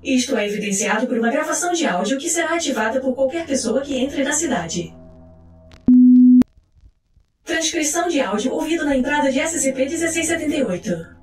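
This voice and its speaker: A woman reads scripted documentary-style narration in a formal, measured, clinical manner. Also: high voice, expressive. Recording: echoey voice track over music. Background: music.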